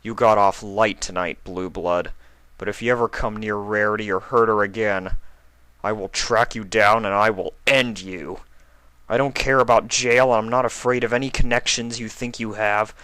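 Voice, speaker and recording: A man's voice: on the low side, fairly even intonation, moderate pace, sharp tone, clean tone, no room sound. A man voicing a brooding, protective young male pony, plain-spoken and intense, in a story reading. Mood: menacing.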